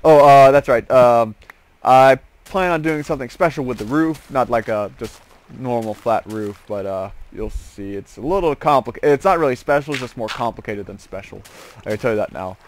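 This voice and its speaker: Man, casual gaming host, rambling commentary, joking asides, mid-range voice, clear background noise with clicks. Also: medium pitch, moderate pace.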